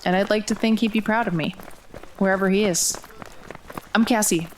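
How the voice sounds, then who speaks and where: A woman's voice: mid-range voice, fairly even intonation, normal speed, a little hiss or hum, lightly acted, rough recording. A woman playing a determined, grieving young runner in an audio drama, wry, guarded and understated. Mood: hesitant, tender. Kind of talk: audio drama acting, first-person narration and dialogue.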